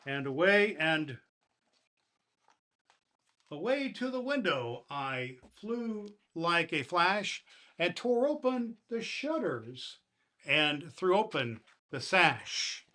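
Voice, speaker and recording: A man acting as a kindly, jolly old Father Christmas with a slightly high voice. He hosts for children in a folksy manner, leaning on his words like a storyteller with a picture book. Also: big pitch swings, takes it slowly, pushed and bright, exaggerated voice. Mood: storytelling. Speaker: host of a Christmas story show. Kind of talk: addressing children and reading a storybook.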